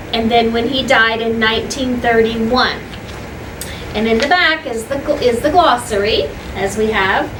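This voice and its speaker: A woman teacher reading a story aloud to children, stopping for simple explanations, repeating points and putting questions to the listeners. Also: fairly high pitch. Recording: echoey room, distant mic, clear background noise.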